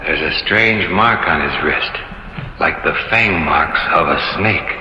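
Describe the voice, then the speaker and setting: An actor playing a sober expedition member who tells an eerie tale in a measured old-time radio drama style. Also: male voice, low-pitched, very noisy. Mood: grave. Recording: dull old radio recording under music.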